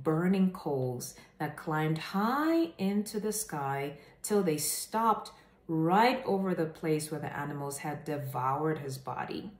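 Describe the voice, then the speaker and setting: Echoey, lower-pitched woman reading aloud. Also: a little mellow. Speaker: host reading children's stories aloud. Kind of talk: animated read-aloud storytelling to camera. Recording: echoey room.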